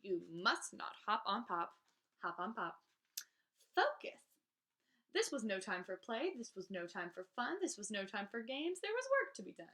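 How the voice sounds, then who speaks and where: A woman's voice: mid-range voice, big pitch swings, normal speed, a bit bright. A woman reading children's verse aloud as a storybook host, in a theatrical, emphatic manner.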